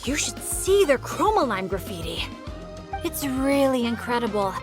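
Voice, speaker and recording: Eager, high-pitched young adventurer. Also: female voice, sing-song, normal speed, over music. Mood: friendly, eager. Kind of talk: animated game voice acting.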